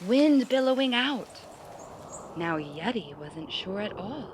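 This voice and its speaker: Slow, animated woman storyteller. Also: mid-range voice, slight background noise. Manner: dramatic, addresses young listeners. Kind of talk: expressive children's story reading. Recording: music behind the voice.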